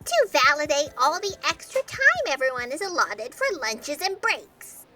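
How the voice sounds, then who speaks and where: A woman's voice: high-pitched, very animated, moderate pace, pushed and bright, distant mic, full character voice. A woman playing an anxious, over-emotional, eager fact checker with melodramatic, hesitant delivery in a comedic audio drama. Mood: timid.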